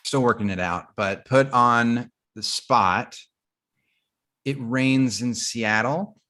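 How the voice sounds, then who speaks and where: A woman playing an awkward, earnest young comedy writer, tentative and deadpan, in a comedy table read. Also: a bass voice, slow, full character voice. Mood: tentative pitching.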